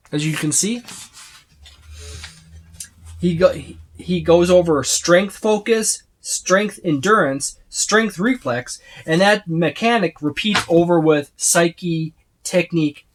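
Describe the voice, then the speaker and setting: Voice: medium pitch, slight background noise. A person giving a casual, unscripted overview of a game rulebook, rambling and repeating points for emphasis as they itemize. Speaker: tabletop game book reviewer.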